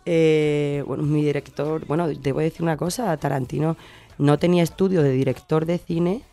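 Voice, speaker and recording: A woman's voice: low-pitched, fairly even intonation, normal speed, warm tone. A woman from Cádiz with an Andalusian accent, chatting casually as an interview guest, effusive and often searching for her words. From Cádiz, southern Spain. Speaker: radio guest, short-film maker.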